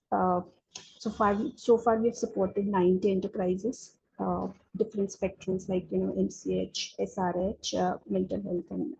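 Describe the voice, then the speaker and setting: A woman's voice: mid-range voice, creaky, dark tone, husky. A woman giving a webinar presentation over a video call, hesitant and full of fillers. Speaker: incubator speaker. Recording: dull lo-fi call audio.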